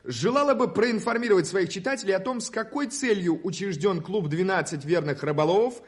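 An actor playing an eager, ironic American newspaper correspondent in a radio play, theatrically formal and wry. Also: male voice, high voice, a little fast, breathy edge. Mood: insistent, courteous. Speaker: actor narrating and playing a reporter. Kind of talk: radio play storytelling and dialogue.

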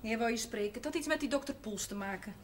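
An actress playing a priest's inquisitive, teasing sister, with a homely, probing manner, in a television drama. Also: female voice, fairly high pitch, quick pace, close to an everyday voice. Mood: chatty. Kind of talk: naturalistic television drama dialogue. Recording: noisy 1970s television sound, clinking crockery.

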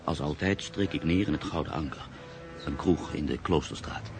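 An actor playing a reflective, hesitant journalist and writer in his mid-thirties in a radio drama, speaking in a measured, literary way. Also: extremely low pitch, vocal fry, over music. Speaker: actor narrating as the lead character. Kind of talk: radio drama monologue and dialogue.

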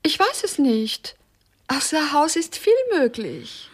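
A stilted theatrical wife of a poet, high voice, really slow, solemn radio drama. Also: female voice, sing-song, heavily acted. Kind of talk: satirical radio crime drama acting.

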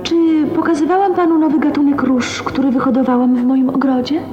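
A woman's voice: high-pitched, brisk, close to an everyday voice. An actress in a Polish radio drama playing a gracious young noblewoman, teasing and aloof with formal manners. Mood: gracious, playful. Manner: coquettish, breezily formal. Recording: dull lo-fi sound, music behind.

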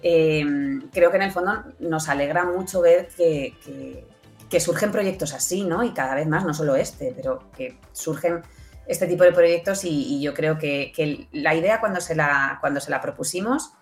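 A woman with a Castilian Spanish accent and a low voice chats as a guest in a casual interview. She sounds enthusiastic and often seeks agreement with short tag questions. Faint music plays behind her. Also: normal speed. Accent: Spain. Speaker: podcast producer and event presenter, interview guest.

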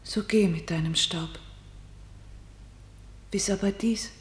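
A woman playing a fearful, submissive and tender sister in a classical tragedy radio play, speaking measured, solemn verse. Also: mid-range voice, fairly even intonation, lightly acted. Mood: sorrowful. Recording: echoey room sound.

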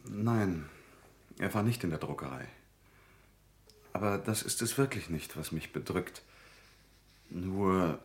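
Modest, worried printer, low-pitched, grave and measured, hesitant at heart, radio drama. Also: male voice. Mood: gentle, troubled. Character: earnest, troubled, modest printer, devoted friend. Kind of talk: German radio drama acting.

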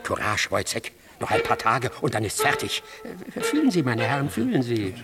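An actor playing a pompous, cruel doctor in a radio drama, lecturing students in a declamatory, mocking manner. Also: male voice, medium pitch, huge pitch swings, normal speed, loud music behind the voice. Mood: condescending.

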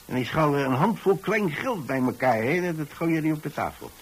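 A man's voice: a little high, lively intonation, moderate pace, background noise, close to an everyday voice. An actor playing an older retired landlord, tolerant and folksy, who tells his story hesitantly and repeats himself in a radio monologue. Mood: wry, chatty.

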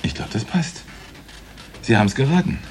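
A man's voice: medium pitch, expressive, normal speed, slight background noise, close to an everyday voice. A man playing a blunt, skeptical veteran police detective with terse questions in a German radio crime drama. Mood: curious, dry.

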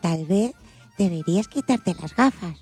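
A woman's voice: lowish voice, expressive, unhurried, a little mellow, husky, faint music behind. A woman playing a meddling, coaxing acquaintance who hands out advice in a children's radio play. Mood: coaxing, critical. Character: meddling acquaintance giving a girl advice on her looks.

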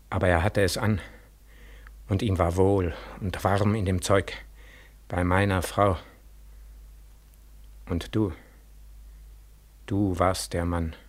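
Dead soldier's ghost in an old radio play, really low husky voice, slow and mournful, keeps gently accusing. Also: male voice, full character voice. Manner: slow, solemn, insistent, with heavy pauses. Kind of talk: 1940s German radio drama acting. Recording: 1940s radio studio recording.

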